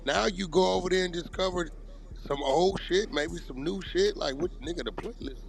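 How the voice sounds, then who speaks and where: A man's voice: high voice, normal speed, creaky. Blunt, profane man arguing. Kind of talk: heated podcast debate.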